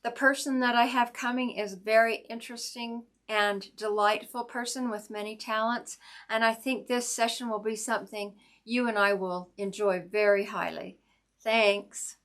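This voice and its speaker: Woman host doing an intro, bit high voice, soft and gracious. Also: fairly even intonation, moderate pace. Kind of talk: introducing a guest.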